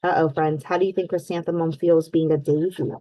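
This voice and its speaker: A woman reading a storybook aloud to children in an expressive, deliberate way, pausing to put questions to her young listeners. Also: deep voice, no echo, poor audio. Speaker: read-aloud host for children.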